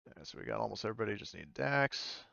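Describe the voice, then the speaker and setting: A man's voice: lowish voice, lively intonation, creaky, sharp tone, dry recording, muffled recording. A man speaking casually as game master of an online tabletop game, hesitant and often correcting himself as he narrates. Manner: hesitant, halting, self-correcting. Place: online voice call.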